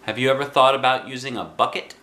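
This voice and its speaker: A man's voice: medium pitch, steady tone, fast talker, pushed and bright, lightly acted. A man voicing a dramatic, stubborn young schoolgirl in a children's storybook, with emphatic, childlike delivery. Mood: puzzled. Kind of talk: children's storybook read aloud.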